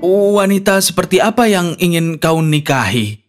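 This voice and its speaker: A woman's voice: lowish voice, lively intonation, normal speed, voice pushed down, close to an everyday voice. A woman voicing a noble, trusting young prince with a courteous, easygoing manner. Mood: curious, earnest. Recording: music behind the voice.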